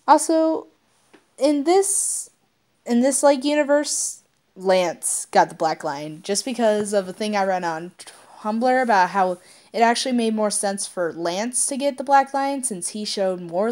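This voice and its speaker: A woman's voice: a little high, unhurried, a little mellow. A woman with an informal, unpolished delivery who stumbles now and then, reading stories aloud and chatting to her listeners as an amateur channel host. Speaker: amateur fan fiction reader and channel host.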